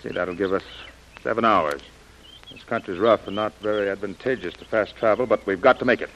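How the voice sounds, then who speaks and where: An actor playing a commanding male expedition leader who gives crisp orders in old radio acting. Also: on the low side, a little fast, fairly bright tone, muffled recording, a little hiss or hum, close to an everyday voice.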